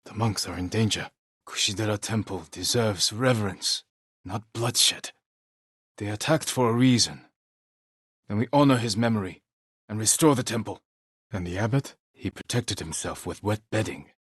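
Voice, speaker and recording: A man playing a solemn, resolute samurai warrior in a video game, speaking in a husky, measured, grave way with short phrases. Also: fairly low pitch, fairly bright tone, no room sound, studio quality. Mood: grave, focused. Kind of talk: dramatic video game character dialogue.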